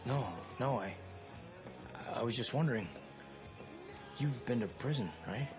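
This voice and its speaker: A man's voice: medium pitch, measured pace, natural voice. An actor playing a selfish, glib businessman who is facing prison, in a whiny, self-justifying manner for a comedy film. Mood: nervous, glum. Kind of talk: live-action comedy film acting. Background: music.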